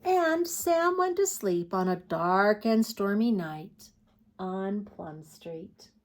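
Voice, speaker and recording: A woman reading picture books aloud to preschoolers as a storytime host, expressive and putting questions to young listeners. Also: medium pitch, takes it slowly, slight background noise. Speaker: children's storytime host and reader. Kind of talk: read-aloud picture book narration for preschoolers.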